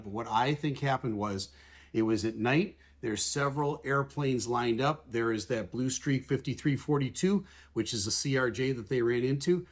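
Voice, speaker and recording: A man giving explanatory commentary as an aviation analyst, talking in a measured, plain-spoken way and putting radio calls into everyday words. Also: medium pitch. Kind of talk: explanatory commentary over air traffic recordings.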